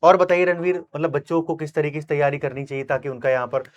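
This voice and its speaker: A man's voice: on the high side, fast talker, highs cut off. A man interviewing in a conversational, emphatic and encouraging way, often adding tag questions. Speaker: interviewer and coaching teacher. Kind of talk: conversational interview with motivational asides.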